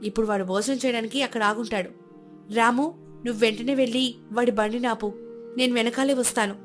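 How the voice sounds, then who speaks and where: A woman voicing a calm clever young daughter-in-law. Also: fairly high pitch, a little fast, faint music behind, lightly acted. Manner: calm, reassuring, polite.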